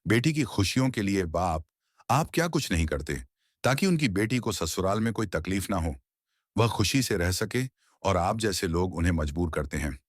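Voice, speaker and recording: A man acts out a righteous young brother in a cartoon. His voice is on the low side and moves a lot in pitch, earnest and admonishing, recorded dry. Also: expressive, normal speed, no echo. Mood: reproachful. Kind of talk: Hindi moral-story cartoon voice acting.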